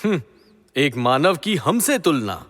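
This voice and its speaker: Arrogant celestial king, pompous, scornful, forceful, measured pace, cavernous echo, mythological drama. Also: a little high, big pitch swings, heavily acted. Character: arrogant, jealous king of the gods. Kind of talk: Hindi mythological audio drama acting. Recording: long hall reverb.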